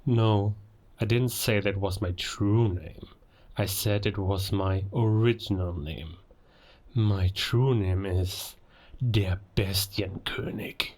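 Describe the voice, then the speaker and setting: An actor playing a proud, menacing werewolf villain in a cinematic audio roleplay, speaking deliberately as a gloating king of beasts. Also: lowish voice, darkish tone. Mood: gloating menace. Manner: slow, deliberate, menacing, grandiose.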